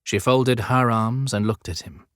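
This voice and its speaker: A man narrating an audiobook story in a measured, descriptive storytelling style. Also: mid-range voice, steady tone, a bit bright, clean tone, no echo, clean studio sound. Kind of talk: scripted dramatic storytelling narration.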